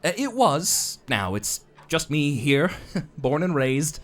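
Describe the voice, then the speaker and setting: A man's voice: on the high side, very animated, takes it slowly. A man playing a courteous but nervous, evasive tavern keeper in a fantasy audio drama. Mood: flustered, evasive. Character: polite, flustered, evasive male tavern keeper.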